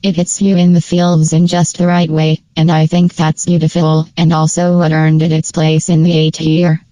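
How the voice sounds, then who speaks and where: Low, even-toned woman reviewer. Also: normal speed, dry recording, rough recording. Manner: informal, opinionated fan talk with slang. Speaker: video creator and narrator. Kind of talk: casual scripted tier-list review commentary.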